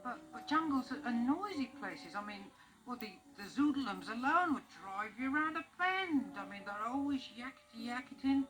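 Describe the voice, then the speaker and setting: A woman's voice: on the high side, animated, moderate pace, fairly bright tone, faint music behind, rough recording. A woman doing a stammering curious boy. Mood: nervous curious. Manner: stammering, hesitant. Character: curious young boy space traveler, jittery. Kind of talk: 1970s storybook record acting.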